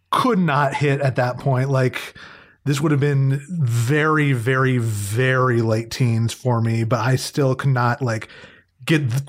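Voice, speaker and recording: A man talking casually and reflectively as a co-host, with measured, articulate, self-critical phrasing. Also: medium pitch. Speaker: voice actor, podcast co-host.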